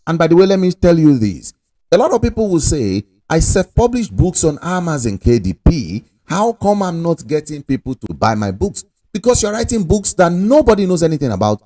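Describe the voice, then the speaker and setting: Animated man with a mellow dry voice. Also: on the high side, dry recording. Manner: animated, talks to the viewer directly, pushy salesman-like emphasis. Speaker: online money-making tutorial host. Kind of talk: casual screen-recording tutorial.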